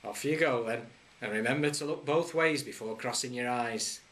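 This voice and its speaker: A man's voice: medium pitch, moderate pace, a bit bright, close to an everyday voice. A man voicing a jovial male policeman who questions two boys in a playful, mock-stern way. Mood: teasing.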